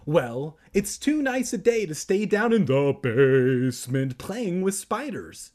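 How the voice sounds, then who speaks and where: A man's voice: a little high, big pitch swings, takes it slowly, a little mellow, pitched above the performer's own voice. A man voicing a scolding aunt in a storybook reading, calling out to children in a sing-song way.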